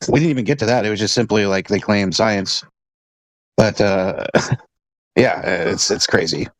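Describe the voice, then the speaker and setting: A man's voice: fairly low pitch, measured pace, no room sound. A man in casual conversation who talks hesitantly with many fillers and swears bluntly.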